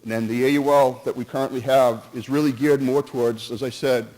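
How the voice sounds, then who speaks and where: Halting man speaking at a meeting. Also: mid-range voice, fairly even intonation, a little mellow, rough recording. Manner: halting, restarts and jumps between points; earnest, cautious, hedges with "in my opinion". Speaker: resident speaking at a town meeting. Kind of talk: public comment at a town meeting. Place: town meeting hall.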